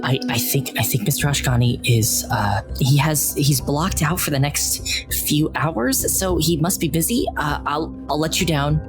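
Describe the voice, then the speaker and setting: A man voicing a nervous young female receptionist who speaks in hesitant, halting bursts. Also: fairly high pitch, over loud music, voice pushed up.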